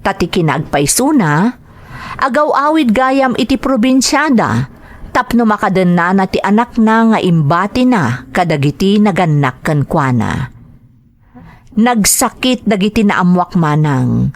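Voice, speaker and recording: An actress playing a secretive, evasive working wife in an Ilocano family audio drama, insistent in manner. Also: female voice, mid-range voice, lively intonation, unhurried. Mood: remorseful, confessing.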